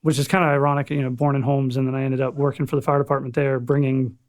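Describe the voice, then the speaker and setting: A young man from Florida, a firefighter paramedic, chatting casually as a podcast guest in a relaxed, rambling way with casual swearing. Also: mid-range voice, fast. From Florida, USA. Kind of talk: casual chat.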